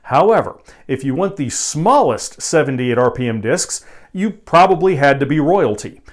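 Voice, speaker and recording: A man presenting as a video host in an informal explainer style, with a wry, conversational manner. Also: fairly high pitch. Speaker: video host and record collector.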